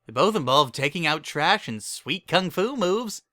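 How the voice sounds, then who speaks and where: A man's voice: on the high side, lively intonation, a little slow, pushed and bright, no echo, clean studio sound, voice pushed up. A man voicing a scrappy, drowsy, hard-drinking pony mother in a storybook, whiny and belligerent. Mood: cocky.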